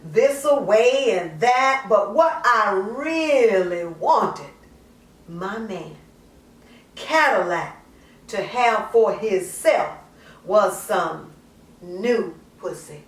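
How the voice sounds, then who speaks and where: A woman performing a dramatic monologue as a raw, confessional, crack-addicted mother, in halting, colloquial, emphatic speech. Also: medium pitch, expressive. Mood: wistful, confiding. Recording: roomy echo, distant microphone.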